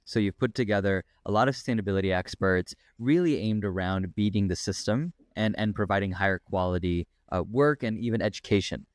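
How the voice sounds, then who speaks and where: Man, podcast host, smooth resonant voice, low pitch, chatty, "right?" tags, dry close sound. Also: fairly quick, few pauses, no room sound. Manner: chatty, tag questions like "right?", laughs easily. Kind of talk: interview questions, casual.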